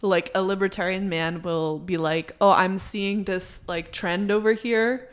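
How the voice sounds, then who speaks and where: A woman's voice: mid-range voice, steady tone, unhurried, clean tone. A young adult woman chatting casually as a podcast co-host, saying "like" often and laughing easily. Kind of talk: casual chat.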